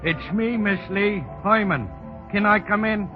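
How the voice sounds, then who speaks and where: A man's voice: high voice, unhurried. A man playing an eager, deferential American racket collector in 1930s radio style, hesitant and ungrammatical. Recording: dull lo-fi 1930s radio, music behind.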